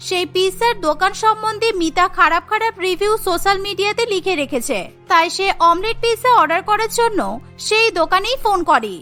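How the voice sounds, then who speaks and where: A woman's voice: very high-pitched, a little fast, pushed and bright. A woman narrating a scripted cartoon story. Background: background music.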